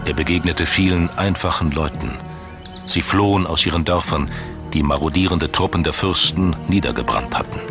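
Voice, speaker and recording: A man narrating a scripted documentary in a measured, dramatic storytelling manner. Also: sits low. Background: background music.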